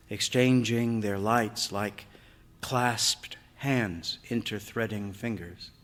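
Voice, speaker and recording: Male story reader, unhurried delivery, bright forceful tone, expressive literary narration, live stage. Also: fairly low pitch. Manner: measured, expressive, acts out dialogue. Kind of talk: dramatic literary narration read to an audience. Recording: reverberant hall, live stage reading.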